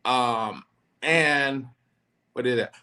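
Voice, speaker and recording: A man's voice: medium pitch, very slow, bright, forceful tone. A man hosting a casual podcast chat, explaining things at length in a loose, rambling way with frequent restarts. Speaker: podcast host; former law enforcement officer.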